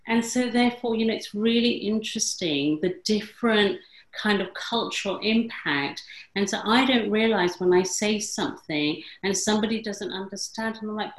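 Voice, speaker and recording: A woman from England hosting a conversation, talking reflectively with lots of fillers and restarts. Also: medium pitch. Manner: rambling, many fillers and self-corrections. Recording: echoey room sound.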